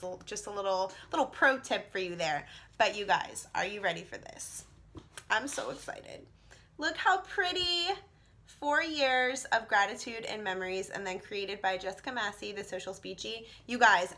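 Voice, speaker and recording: A young woman vlogging to her camera. She is losing her voice and sounds raspy, and she gushes with excitement and fills her talk with "like". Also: medium pitch. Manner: excited, gushing, many "like" fillers. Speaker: vlogger and teacher. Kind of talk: casual talking-to-camera vlog.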